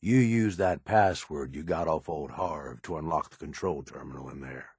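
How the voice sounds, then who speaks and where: An actor voicing a gruff, streetwise raider adviser in a video game, with a husky voice and a blunt, coaxing, profane way of talking. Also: male voice, very deep voice, normal speed, darkish tone, dry recording, clean studio sound, heavily acted. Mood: confident, coaxing. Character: gruff, streetwise male raider right-hand man, pragmatic schemer. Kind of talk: video game character dialogue.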